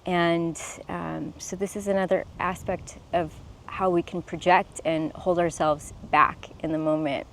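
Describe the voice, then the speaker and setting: Woman, young adult, slightly low pitch; sincere, encouraging to-camera coaching talk. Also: steady tone, unhurried, a little hiss or hum. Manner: earnest and encouraging, with restarts. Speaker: self-expression coach.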